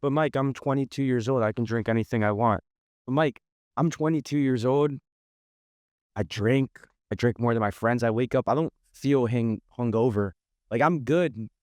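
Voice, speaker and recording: A man's voice: medium pitch, normal speed, darkish tone, no room sound, studio quality, close to an everyday voice. A man putting on the role of a brash, dismissive young male drinker who brushes off warnings. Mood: defiant.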